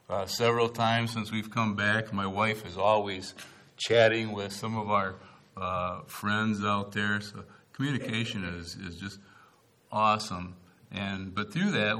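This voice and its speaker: A man who is a missionary pastor, speaking to a church congregation in a relaxed, folksy way. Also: lowish voice.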